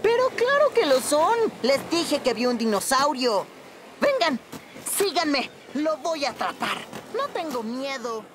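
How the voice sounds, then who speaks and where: High-pitched mischievous cartoon boy. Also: sing-song, measured pace. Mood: insistent, excited. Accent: Latin American Spanish. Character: mischievous boastful young boy. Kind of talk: animated children's cartoon dub. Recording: loud music behind.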